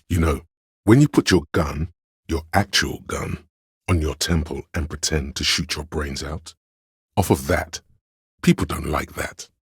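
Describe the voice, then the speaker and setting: Gravelly, very deep theatrical courtier. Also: male voice, fairly bright tone, no echo, studio quality, exaggerated voice. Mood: sly, coaxing. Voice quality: gravelly, husky bass. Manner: pompous, archaic courtly diction, deliberate delivery. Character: theatrical, courtly inner voice of drama, sly male flatterer. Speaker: video game voice actor. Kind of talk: theatrical game dialogue and narration.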